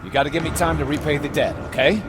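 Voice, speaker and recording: An actor playing an urgent, grateful colony survivor with an earnest manner in a video game. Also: male voice, fairly even intonation, quick pace, lightly acted. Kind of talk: video game drama acting. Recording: loud music and rumble behind.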